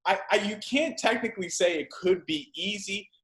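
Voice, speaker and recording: A man's voice: high voice, moderate pace, a bit bright. A man in his thirties, a guest in a casual podcast talk, speaking emphatically, repeating phrases and swearing freely. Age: 30s. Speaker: guest entrepreneur. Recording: echoey room.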